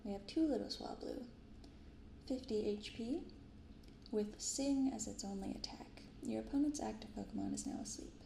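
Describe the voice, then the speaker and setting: Female ASMR host, gentle and casual, gravelly fry, roomy binaural recording with audible noise. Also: a little high. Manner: soft, gentle, unhurried delivery. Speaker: host of a card-opening ASMR channel. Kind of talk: soft-spoken ASMR, casual commentary. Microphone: binaural microphone. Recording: roomy echo, clearly audible background noise.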